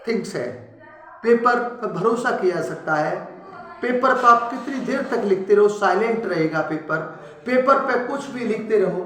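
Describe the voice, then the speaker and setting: A male teacher retelling a story in a lecture for school students, animated and full of sudden emphatic rises. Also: high-pitched, echoey room.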